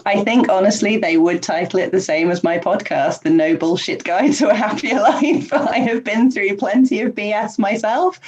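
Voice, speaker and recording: A woman answers interview questions in an earnest, chatty way, with ums and soft laughs between thoughts. Her voice sits at a middle pitch. The audio comes through dull and a little lo-fi, like a remote call. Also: moderate pace, few pauses, slightly rough. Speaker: podcast guest, life coach.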